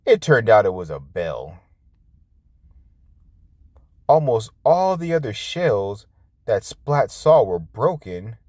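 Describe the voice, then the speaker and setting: Slow, expressive low-voiced man reading a storybook. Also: no room sound, studio quality. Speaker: storybook reader, host. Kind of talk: children's storytime read-aloud.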